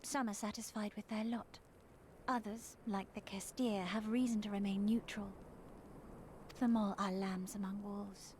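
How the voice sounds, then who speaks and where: Woman playing a gentle, solemn steppe tribeswoman. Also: medium pitch, fairly even intonation, a little hiss or hum, voice pushed up, lightly acted. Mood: solemn explaining. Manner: measured, solemn, storytelling explanation. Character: gentle, wise young tribeswoman guide of the steppe. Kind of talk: fantasy game character dialogue read aloud.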